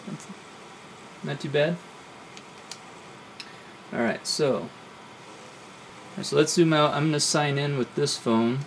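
A man's voice: on the high side, slow pace, creaky, pauses often, slight background noise, rough recording. A man in his thirties giving a casual hands-on tech demonstration as a video host. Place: home lab room, indoors.

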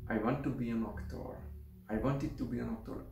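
A young man from Hungary with a Hungarian accent, talking to the camera in a casual, halting way at home. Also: medium pitch, steady tone, creaky, darkish tone, roomy sound, recorded from a distance, soft background music. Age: young adult. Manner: halting, careful, with restarts. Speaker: vlogger. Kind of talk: casual vlog monologue. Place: room at home, indoors. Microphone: camera mic at a short distance.

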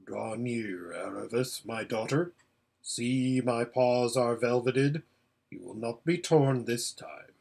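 A man performs a great storybook lion, regal and solemn. He speaks very slowly and with command, stern yet tender, in a husky voice. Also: mid-range voice, a little mellow, pitched below the performer's own voice. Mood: grave, stern. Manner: slow, measured, commanding.

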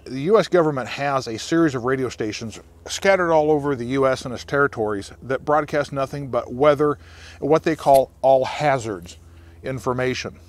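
An American man in his sixties hosting an informal outdoor explainer, talking to camera into a lapel mic in the woods. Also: mid-range voice. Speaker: outdoor preparedness video host.

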